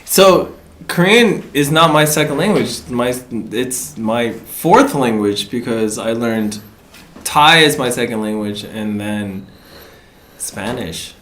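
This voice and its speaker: A man's voice: medium pitch, fairly bright tone. A young man in casual conversation as a guest, often stumbling and restarting his sentences. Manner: halting, stammering restarts. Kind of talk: casual chat.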